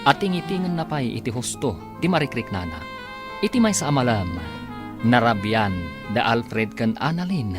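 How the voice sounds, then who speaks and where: A man's voice: mid-range voice, very animated, normal speed, over music. A man with an Ilocano accent narrating a radio drama as its announcer in a dramatic scripted style.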